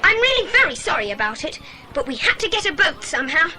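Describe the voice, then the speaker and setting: A woman's voice: extremely high pitch, very animated, fast, bright, forceful tone, slight background noise, exaggerated voice, low-quality recording. A woman playing a bold, bossy boy who leads his friends in a children's audio drama, with a commanding manner. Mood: defiant. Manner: commanding, decisive.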